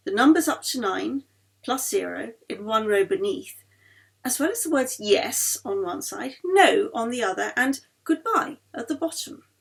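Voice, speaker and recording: Woman, audiobook narrator. Highly animated intonation, slightly high voice, expressive literary storytelling. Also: gravelly. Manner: acts out dialogue of characters. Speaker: author reading own novel aloud.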